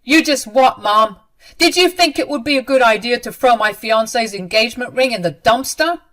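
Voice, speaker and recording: A stern, angry grown man, acted out by a woman narrator, confronting family in a dramatized story. His delivery is hard, forceful and commanding. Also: on the high side, normal speed, pitched above the performer's own voice, lightly acted. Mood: angry, accusing. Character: stern, angry adult son defending his fiancee.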